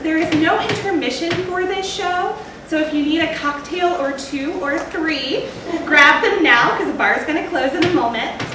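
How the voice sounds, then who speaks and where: A woman theater director giving a chatty, informal welcome speech to a live audience before a show. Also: high-pitched. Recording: very echoey theater, distant mic, noisy.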